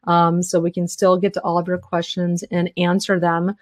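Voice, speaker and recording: A woman's voice: fairly low pitch, little pitch movement, no room sound. Tired woman fielding health questions. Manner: talks fast in long run-on answers with many fillers. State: tired, losing her voice. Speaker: health educator hosting a webinar Q&A. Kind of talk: live Q&A, answering listener questions.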